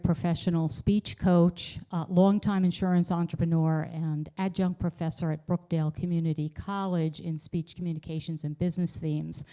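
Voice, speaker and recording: A woman's voice: lowish voice, dark tone, clear, resonant tone. A woman speech coach giving a polished, promotional self-introduction.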